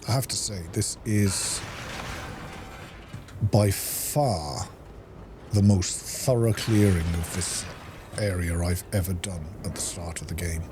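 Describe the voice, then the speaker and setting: Low-voiced man narrating his gameplay. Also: steady tone, takes it slowly, pauses often, noisy background. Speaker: gaming let's-play host. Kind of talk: casual gameplay commentary.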